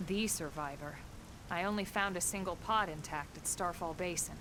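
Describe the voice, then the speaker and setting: An actress playing a composed, informal woman colonel in a video game, brisk and matter-of-fact as she guides a newcomer. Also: natural voice. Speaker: military colonel. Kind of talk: video game cutscene dialogue. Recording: game audio over rumbling background noise.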